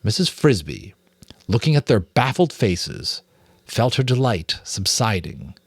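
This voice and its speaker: A man's voice: fairly low pitch, big pitch swings, measured pace, husky, no echo, clean studio sound. A man with an American accent narrating a children's novel dramatically, with casual asides. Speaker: audiobook narrator, amateur voice actor.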